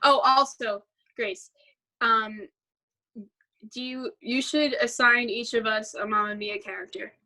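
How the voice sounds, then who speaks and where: Slow-talking bright young woman host. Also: on the high side, steady tone, low-quality recording. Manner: rambling, filler-heavy, laughs often. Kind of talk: casual chat about musicals.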